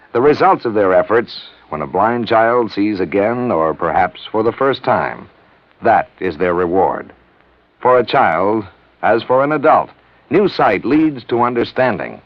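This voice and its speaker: A man reads a public service message on a vintage broadcast. His voice is fairly low, with an earnest, measured delivery and lively pitch movement. The sound is dry and dull. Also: no echo. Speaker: announcer. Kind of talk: scripted public service announcement.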